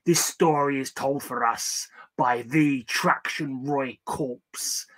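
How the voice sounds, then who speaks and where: A man's voice: mid-range voice, steady tone, slow pace, a bit bright. A man hosting a horror story show, speaking in a teasing, theatrical way. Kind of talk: spooky theatrical host narration.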